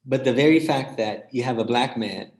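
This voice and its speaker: A man with a mid-pitched voice gives thoughtful, emphatic commentary as a guest scholar on a panel. He presses his points and often punctuates them with a quick questioning tag. The sound is echoey, like a live-streamed call. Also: darkish tone.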